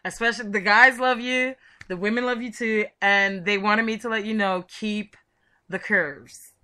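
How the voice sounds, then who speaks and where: A woman's voice: mid-range voice, steady tone, normal speed, sharp tone. A woman hosting a chatty promotional interview, talking in an effusive, gushing way. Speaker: show host and interviewer.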